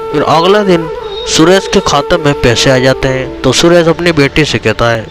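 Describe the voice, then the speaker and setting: A man's voice: on the high side, normal speed. A man narrating a cartoon tale as a storyteller. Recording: music behind the voice.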